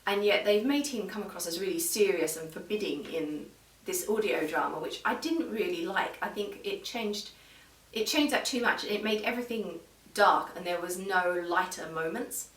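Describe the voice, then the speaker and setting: Lower-voiced woman reviewing, distant and echoey. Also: expressive, normal speed, fairly bright tone. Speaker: video reviewer. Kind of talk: informal review talk to viewers. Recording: roomy echo, distant microphone.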